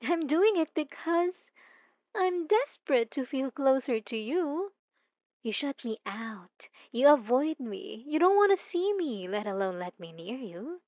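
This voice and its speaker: A woman's voice: high-pitched, no echo, dull audio, heavily acted. A woman voicing a possessive, obsessive female stalker in an intimate ASMR roleplay, coy and mocking, cooing with teasing menace.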